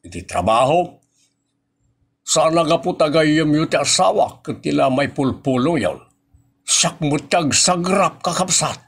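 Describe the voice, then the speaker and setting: An actor with an Ilocano Filipino accent playing a gruff, scolding boss and henpecked husband in a comedy audio drama. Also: male voice, a little high.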